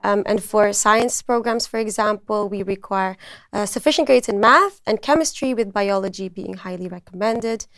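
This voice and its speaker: A woman's voice: medium pitch, moderate pace, fairly bright tone, no echo, clean studio sound. A woman speaking as a student recruiter, giving orderly, informative explanations on a talk show.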